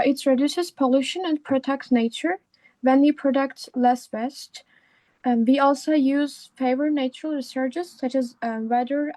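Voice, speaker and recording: A woman's voice: high voice, dark tone, dry recording, rough recording. A sixteen-year-old girl from Turkey, a non-native English speaker, presenting a school talk over an online call with many hesitations and fillers. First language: Turkish. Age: teens. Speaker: student speaker. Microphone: remote call microphone.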